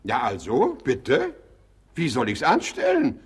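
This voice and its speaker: An actor playing a doting, gullible, middle-aged rich husband in a theatrical, sentimental German radio comedy. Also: male voice, medium pitch, huge pitch swings, slow, slightly rough, full character voice. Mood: scheming. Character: doting, gullible, ailing wealthy husband making his will. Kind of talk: German radio comedy acting of a classic play.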